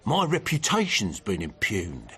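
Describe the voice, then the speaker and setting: A pushy, flattering newspaper editor in a radio play. His mid-pitched voice is husky and very animated. He comes across as chummy and self-important. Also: heavily acted. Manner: chummy, insistent, halting at times. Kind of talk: radio drama dialogue.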